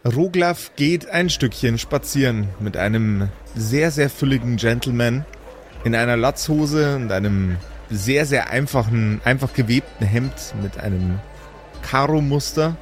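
A man narrating an improvised tabletop audio drama as game master, deliberate, repeating and rephrasing as he goes. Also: mid-range voice, lively intonation, soft background music.

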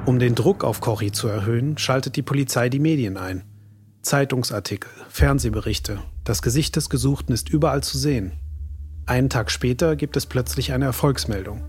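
A man's voice: medium pitch, fairly quick, slightly rough. A man reading dramatic scripted narration for a true crime documentary. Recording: loud suspense music underneath.

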